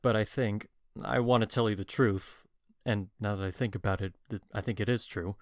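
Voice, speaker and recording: A man's voice: on the low side, steady tone, normal speed, no echo, studio quality, natural voice. A man playing a deferential, confused young lover in a Shakespeare audio drama, hesitant and apologetic.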